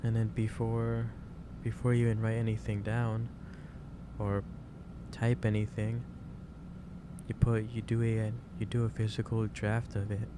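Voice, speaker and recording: A man playing a gentle, caring office boss in an ASMR roleplay, speaking in a hesitant, reassuring way. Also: on the low side, monotone, a little slow, lightly acted. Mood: fond, concerned. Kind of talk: ASMR roleplay, soft improvised monologue. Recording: clear background noise, ambience.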